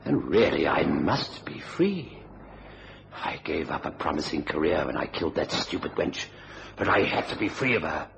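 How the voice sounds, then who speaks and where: A man's voice: rumbling low, sing-song, moderate pace, vocal fry, fairly bright tone, exaggerated voice. An actor playing a cold, murderous husband in a radio thriller, suave and theatrical in his menace. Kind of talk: old-time radio thriller acting. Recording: noisy old radio drama recording.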